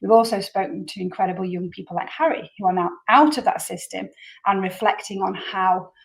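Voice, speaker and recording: A woman's voice: medium pitch, fairly quick, gravelly, poor audio. Earnest woman podcast host. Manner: earnest, rambling intro with many repeats. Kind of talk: casual interview chat.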